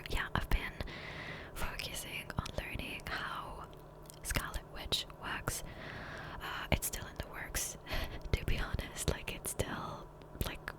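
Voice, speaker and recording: A woman speaking in a soft, breathy whisper, rambling casually and unhurriedly in an ASMR gameplay voiceover on a close microphone. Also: rough recording. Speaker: ASMR creator. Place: voiceover recorded separately from gameplay. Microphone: Blue Yeti USB microphone, close.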